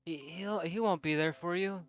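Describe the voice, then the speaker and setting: A man's voice: high voice, normal speed, soft background music. A man playing in an improvised tabletop game, speaking with hesitant stammering. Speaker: player.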